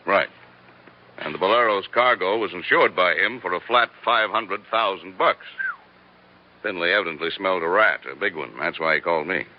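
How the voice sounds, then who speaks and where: An actor playing a serious, plain-spoken intelligence chief in an old radio drama, grave and businesslike. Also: male voice, low-pitched, normal speed, a little hiss or hum, lightly acted. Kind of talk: 1940s radio drama acting. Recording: dull old radio recording.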